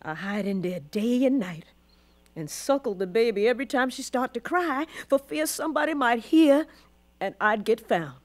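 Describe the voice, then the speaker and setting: A woman's voice: on the high side, animated, normal speed, natural voice. A woman acting a weary, devout old formerly enslaved grandmother in a radio drama, with a Southern US accent and solemn, emotive storytelling. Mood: fearful, haunted.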